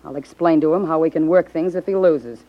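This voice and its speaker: A woman's voice: low voice, brisk, lightly acted. An American actress playing a shrewd, cocksure, wisecracking gangland woman in a 1950s radio crime drama. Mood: hard, persuasive. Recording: dull 1950s radio recording.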